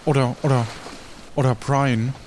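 A man's voice: medium pitch, steady tone, measured pace, a little mellow, slight background noise. A man casually improvising narration and banter as game master of an audio play. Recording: music behind the voice.

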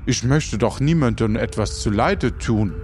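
A man playing a courteous, defensive French master chef in an improvised audio adventure, halting and searching for words. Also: medium pitch, a little mellow, soft background music, natural voice. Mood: flustered, defensive.

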